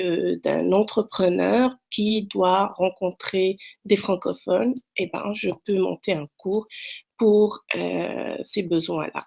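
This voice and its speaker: A middle-aged woman from Morocco introduces herself as a French tutor. She speaks off the cuff, with frequent fillers and restarts. Her voice has a gravelly edge at a middle pitch. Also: a little mellow. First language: French, also speaks Arabic. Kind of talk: self-introduction to prospective students. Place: Quebec, Canada.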